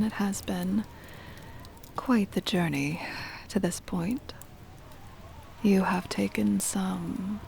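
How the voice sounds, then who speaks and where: A woman playing a possessive, doting aristocratic vampire mistress in an ASMR roleplay, whispering breathily in a slow, formal, soothing manner close to the microphone. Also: medium pitch, darkish tone, slight background noise. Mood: tender teasing. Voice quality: breathy whisper with creaky fry.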